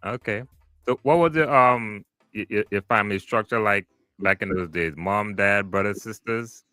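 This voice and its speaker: A man hosting a podcast interview, relaxed and loose, with plenty of fillers as he asks questions. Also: on the low side, moderate pace, no echo. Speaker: podcast host. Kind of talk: casual interview. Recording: dry close mic, faint music.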